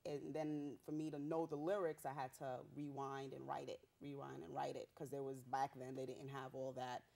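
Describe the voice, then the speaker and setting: A young woman rapper from Haiti, a Haitian Creole speaker, telling her story in a bubbly, animated way with street slang. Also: extremely low pitch, normal speed, gravelly. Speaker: rapper guest. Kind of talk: casual interview.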